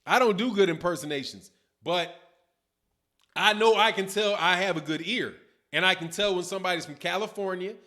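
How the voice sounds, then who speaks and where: A man's voice: sits high, normal speed, pushed and bright. A middle-aged man with a Chicago accent, hosting a boxing livestream in loose, slangy casual chat while reading viewer comments. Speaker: boxing channel host. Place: dim room at home, indoors. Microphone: webcam, seated at desk.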